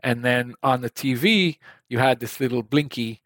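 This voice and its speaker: A man's voice: mid-range voice, moderate pace, fairly bright tone, no room sound. A man in his forties who grew up in Israel talks through an interview in a loose, chatty way. He laughs easily and repeats words as he restarts his thoughts. The sound is close and dry, with no room around it. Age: 40s. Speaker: guest, tech company founder. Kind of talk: casual interview conversation.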